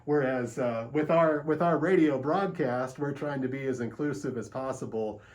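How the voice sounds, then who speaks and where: A man with a medium-pitched voice talks as an interview guest from a radio station. He explains in a measured, agreeable manner, phrasing his points a little hesitantly.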